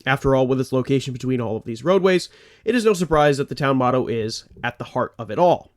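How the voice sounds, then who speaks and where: A man's voice: medium pitch, expressive, quick pace. Congested man hosting a true crime podcast. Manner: earnest, rambling asides. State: congested, a little phlegmy. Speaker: podcast host.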